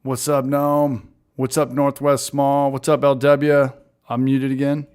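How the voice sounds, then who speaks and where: A man's voice: mid-range voice, level tone, measured pace, a little mellow, smooth. A man in his late thirties co-hosting a casual beer livestream, rambling and chatty as he greets the chat. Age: 30s. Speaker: livestream co-host.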